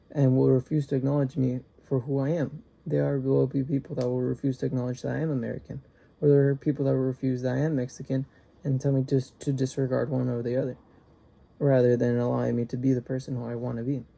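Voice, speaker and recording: A young man giving an earnest class speech, halting at times and restarting his sentences. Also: mid-range voice, vocal fry, warm tone. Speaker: student.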